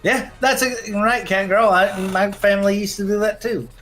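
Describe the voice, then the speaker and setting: A person in casual livestream banter, teasing and quick to interject. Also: mid-range voice, fairly even intonation, a bit bright. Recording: music behind the voice. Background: music.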